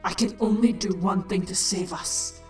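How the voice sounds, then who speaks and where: A woman playing a proud, bitter, dying insect-like queen in an audio drama, speaking slowly and commandingly. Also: mid-range voice, darkish tone, close to an everyday voice. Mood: fierce resolve. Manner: slow, dramatic, regal delivery. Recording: echoing voice over music.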